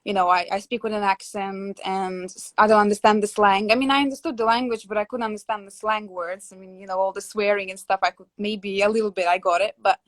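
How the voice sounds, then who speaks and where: A woman's voice: medium pitch, steady tone, quick pace, few pauses, no room sound. A young woman from Latvia hosting a casual video-call chat, speaking reflectively with many fillers and restarts. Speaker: host.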